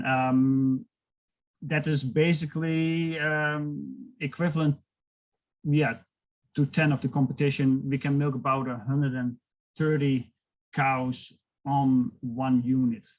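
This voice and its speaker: Slow-talking Dutch-accented man. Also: medium pitch, low-quality recording. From Netherlands. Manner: unhurried, with hesitations and fillers. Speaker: dairy farmer. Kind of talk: presentation on a video call.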